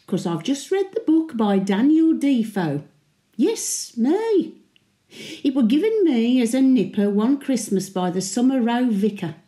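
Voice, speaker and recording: Woman doing a really old brummie market widow, feisty n sentimental, mellow voice, chatty wry monologue, echoey room. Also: a little high, big pitch swings, unhurried. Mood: chatty, amused. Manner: chatty, wry, colloquial storytelling. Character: feisty, sentimental centenarian widow, former Birmingham market trader. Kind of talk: dramatic monologue addressed to her late husband.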